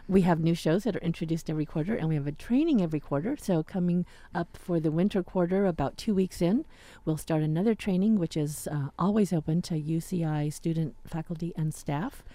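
A woman's voice: fairly low pitch, fairly quick, hardly any pauses, warm tone, no echo. An American woman hosting a radio show, chatting casually and informally. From United States. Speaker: radio host. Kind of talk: casual interview chat. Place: radio studio.

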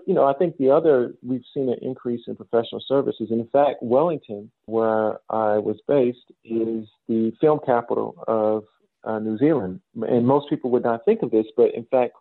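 A middle-aged man with an American accent answers interview questions in a conversational, explanatory way. His voice has a creaky edge at an average male pitch. The sound is dry and muffled, like a remote call. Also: dry recording. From south side of Chicago, United States. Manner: explanatory, measured, often says "you know". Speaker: interview guest, business school dean.

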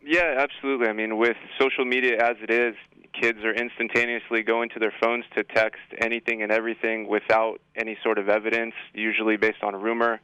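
A man speaking as a school administrator in a radio interview, explaining things in a measured, matter-of-fact way. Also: lowish voice, level tone, bright, forceful tone, smooth, no echo, lo-fi sound. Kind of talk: unscripted radio interview answers.

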